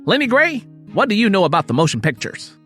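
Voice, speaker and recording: A man doing a high, condescending old Southern storekeeper. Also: wildly swooping pitch, fast, a bit bright, faint music behind, heavily acted. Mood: condescending, falsely cordial. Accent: American South. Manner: patronizing, domineering, emphatic.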